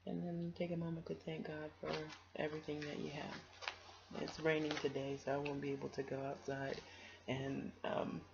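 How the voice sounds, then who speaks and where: Gravelly-voiced woman storyteller, muffled recording. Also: deep voice, little pitch movement, moderate pace, noisy background. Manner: encouraging, affectionate address to listeners. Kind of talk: children's storytime reading with casual asides.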